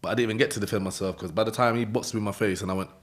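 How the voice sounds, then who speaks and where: A man with a London accent telling a story in an interview, in animated street slang, acting out voices and laughing often. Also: lowish voice, racing. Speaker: interview guest.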